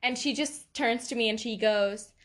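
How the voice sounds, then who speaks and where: A woman's voice: on the high side, moderate pace. A woman with a noticeable accent hosting her own podcast, rambling and laughing at herself as she chats. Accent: non-native accent. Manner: rambling, self-deprecating, laughs often. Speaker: podcast host. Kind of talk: casual solo podcast chat.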